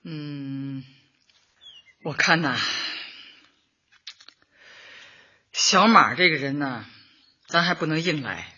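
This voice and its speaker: A woman playing a warm older community Party secretary in a radio drama, chatty and homely, often chuckling and repeating herself. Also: deep voice, expressive, slow pace, breathy edge, muffled recording, heavily acted. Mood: friendly, considered. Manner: chuckling, hesitant fillers, repeats words in homely colloquial talk.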